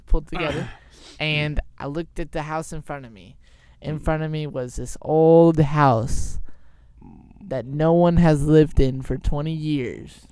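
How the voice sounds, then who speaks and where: A young man telling an improvised story in a halting, informal way. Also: fairly high pitch, darkish tone, smooth. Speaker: storyteller, show host.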